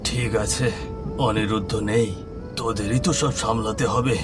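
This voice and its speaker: A man's voice: fairly low pitch, fairly even intonation, unhurried, lightly acted. A man voicing a weary, resigned aging village father in a cartoon. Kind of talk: cartoon voice acting. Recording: loud music behind voice.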